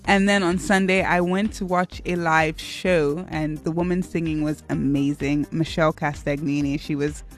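A woman's voice: low-pitched, fairly even intonation, moderate pace, few pauses. A young woman co-hosting a radio show in casual, bubbly chat, rambling with filler words. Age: young adult. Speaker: radio co-host. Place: radio studio. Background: faint music bed.

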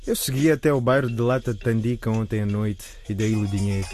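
A man's voice: fairly low pitch, flat delivery, fairly quick, darkish tone, soft background music, natural voice. A man playing a stressed, lovesick young man in a radio drama, evasive and hesitant. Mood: dejected.

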